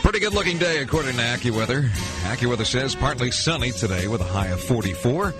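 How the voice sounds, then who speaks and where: A man's voice: mid-range voice, animated, quick pace. An American man hosting a 1980s AM radio show in announcer patter, introducing items as a weekend DJ. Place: radio studio. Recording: 1980s AM radio aircheck with music.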